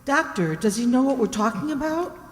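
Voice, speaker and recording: Woman acting a high-voiced, concerned young lady. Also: expressive, a little fast. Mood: anxious. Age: young adult. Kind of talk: old-time radio drama acting. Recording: echoey hall, clear background noise.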